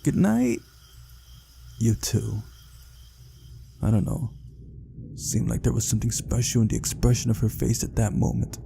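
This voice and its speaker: Male visual novel narrator: subdued read-aloud, very mellow and dark, gravelly fry. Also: on the low side, completely flat delivery, fairly quick, slight background noise. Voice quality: husky, gravelly.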